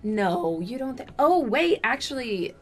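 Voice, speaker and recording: A woman's voice: on the high side, big pitch swings, measured pace, faint music behind. A woman in her thirties co-hosting a gaming video, chatting in a bubbly, talkative way. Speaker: co-host, host's wife. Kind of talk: casual chat. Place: home recording room.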